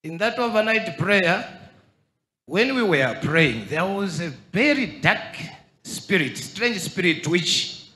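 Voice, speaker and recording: A middle-aged man from Zambia, speaking into a handheld microphone and slowly and earnestly telling his story in a church. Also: sits high, very animated, bright, forceful tone, reverberant. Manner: earnest, slow testimony. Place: large church hall with crowd. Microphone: handheld mic.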